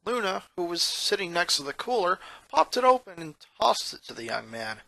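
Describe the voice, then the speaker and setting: A narrator reading a scripted story aloud in an expressive, dramatic storytelling manner. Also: mid-range voice, normal speed, creaky, no room sound, poor audio.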